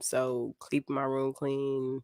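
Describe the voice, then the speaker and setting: A woman's voice: rumbling low, monotone, takes it slowly, darkish tone, dry recording. A woman guest on a podcast, easygoing, giving short answers and laughing often. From military family, moved often, raised partly in Japan. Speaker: guest, model. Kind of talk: casual interview answers.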